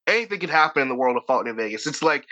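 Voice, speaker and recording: A man's voice: medium pitch, animated, very fast, bright, forceful tone. A man with a Boston accent, an author talking in a casual podcast conversation, profane and rambling with frequent restarts. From Boston area, United States. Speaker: author guest.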